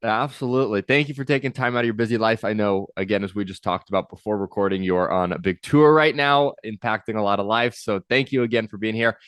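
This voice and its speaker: A man's voice: on the low side, fast, hardly any pauses, smooth, dry recording, clean studio sound. Upbeat male podcast host. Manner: upbeat, energetic and encouraging. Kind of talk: podcast intro and interview.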